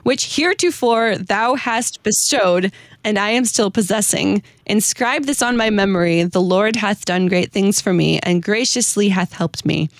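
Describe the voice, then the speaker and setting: An American woman with a mid-range voice hosts a casual conversation. She is informal and animated, with a giggly streak, moving between chat and reading aloud. The sound is dry and close. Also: mid-range voice, measured pace, no room sound. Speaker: host presenting a hymn-writer's story. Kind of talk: casual chat with read-aloud hymn verses.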